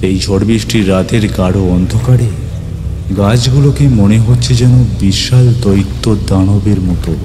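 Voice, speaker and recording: A man narrating a ghost story in the first person, in a dramatic, suspenseful way with deliberate pauses. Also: on the low side, fairly even intonation. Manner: suspenseful, theatrical, with deliberate pauses and repeated words. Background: music.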